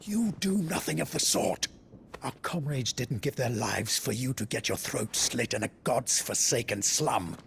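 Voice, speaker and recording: An actor playing a blunt, exasperated, protective ally of an outlaw leader in a video game cutscene. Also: male voice, medium pitch, lively intonation, unhurried, gravelly, a little hiss or hum. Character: gruff, worried male ally of an outlaw leader.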